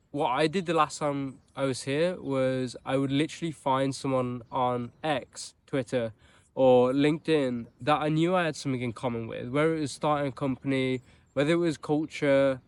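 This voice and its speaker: A man's voice: medium pitch, dry recording. A young man from England with a broad Birmingham accent talks casually to camera in a mid-range voice. He sounds chatty and upbeat, restarting sentences and tossing in loose asides as he goes. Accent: Birmingham English. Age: young adult. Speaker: vlogger and startup founder. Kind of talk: casual vlog talk to camera. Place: outdoors in a mild city.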